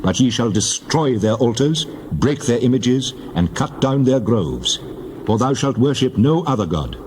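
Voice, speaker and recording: A man's voice: on the low side, a little mellow, a little hiss or hum, close to an everyday voice. A man playing God in a dramatized scripture reading, speaking with solemn, declarative authority. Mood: commanding. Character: God, solemn commanding male divine voice.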